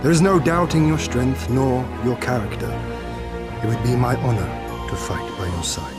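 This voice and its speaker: An actor playing a noble, confident young samurai lord in a video game, speaking in formal, theatrical, old-fashioned phrases. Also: male voice, medium pitch, moderate pace, over loud music, natural voice. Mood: grateful, earnest. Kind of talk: dramatic video game voice acting.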